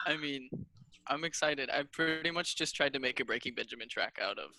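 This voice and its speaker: A man's voice: mid-range voice, brisk, creaky, bright, forceful tone, dry recording, faint music behind, rough recording. A man introducing his song over a video call, self-deprecating and listing disclaimers. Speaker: contestant musician. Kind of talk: casual video-call chat.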